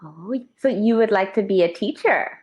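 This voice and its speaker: A woman from New York with a New York accent, an online English tutor speaking slowly and clearly on a video call, encouraging and gently correcting. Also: medium pitch, highs cut off. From New York, USA. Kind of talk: slow, clear tutoring conversation. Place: home teaching room with toys.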